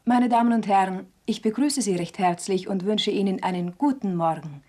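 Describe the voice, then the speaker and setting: A woman's voice: medium pitch, moderate pace, over music. A woman announcing for a radio station in a formal vintage broadcast style on an old archive recording.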